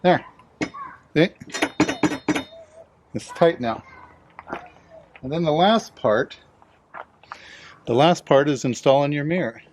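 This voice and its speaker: A man's voice: on the high side, takes it slowly, pauses often, noisy background. A man casually narrating a hands-on DIY installation, explaining it plainly step by step.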